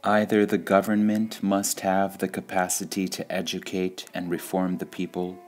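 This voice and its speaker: A man reading spiritual sayings aloud in a calm, measured way, pausing between phrases. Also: sits low. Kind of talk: slow meditative reading of quotations.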